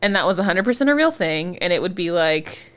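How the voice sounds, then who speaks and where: A woman co-hosting a podcast in casual chat, animated, laughing often and swearing freely. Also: fairly low pitch, fairly quick, clean tone. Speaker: podcast co-host.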